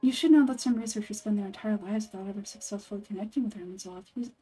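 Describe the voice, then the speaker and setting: A woman's voice: mid-range voice, rapid-fire, warm tone, slightly rough, soft background music, lightly acted. A woman voicing an earnest, scholarly young woman researcher in game dialogue, speaking formally and articulately. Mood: explaining, serious. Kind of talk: video game dialogue read-through.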